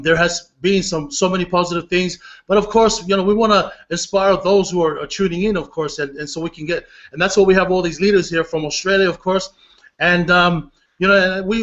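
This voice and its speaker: A man's voice: high-pitched, fairly bright tone, lo-fi sound. A man with a Southern accent hosting a casual online hangout, hyped and repeating "of course" and "guys". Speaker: livestream host.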